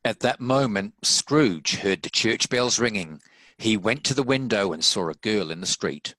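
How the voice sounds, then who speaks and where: A man narrates a scripted story. His voice is deep yet bright and forceful, and it sounds close and dry with very little room around it. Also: expressive, measured pace, no room sound. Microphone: home video-call recording.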